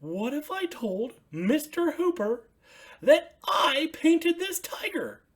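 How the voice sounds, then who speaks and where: Woman voicing high, excitable young puppet boy Ernie. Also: slow, exaggerated voice. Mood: worried, earnest. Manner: exclamatory, earnest.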